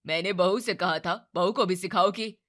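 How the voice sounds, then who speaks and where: A woman voicing a stern middle-aged father-in-law who booms and scolds his daughter-in-law. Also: normal speed, a bit bright, lightly acted. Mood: demanding. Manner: booming, scolding.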